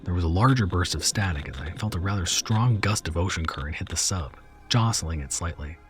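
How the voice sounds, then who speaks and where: A man narrating a first-person horror story in a measured, somber way. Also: low-pitched, expressive, faint music behind.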